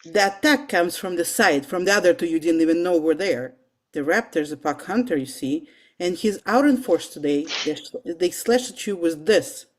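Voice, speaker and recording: Woman reading a dry, lowish-voiced male scientist. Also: normal speed, lightly acted. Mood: menacing lecture. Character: gruff, wry male paleontologist, lecturing and guarded. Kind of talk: amateur table read of a film script.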